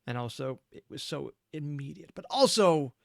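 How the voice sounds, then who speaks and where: A man's voice: a little high, animated, normal speed, gravelly, no room sound, clean studio sound. A man hosting a reaction video, giving informal, opinionated, analytical commentary off the cuff.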